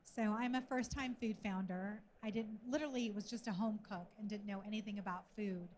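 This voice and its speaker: A woman's voice: medium pitch, brisk, a little mellow, a little hiss or hum. A woman from Sudan speaking as a panel guest, telling a personal story in a conversational way. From born in Sudan. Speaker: panel guest, food company founder.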